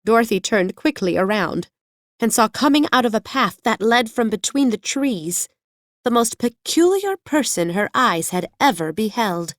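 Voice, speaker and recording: A woman narrating children's fiction as an audiobook, in a measured storytelling manner. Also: fairly high pitch, big pitch swings, no echo, clean studio sound.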